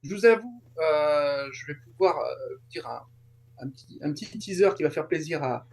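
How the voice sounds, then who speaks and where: Man, high voice, casual interview guest, halting delivery, repeated words. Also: lively intonation, vocal fry. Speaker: guest, translator and YouTuber. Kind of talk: casual interview chat about a fan event.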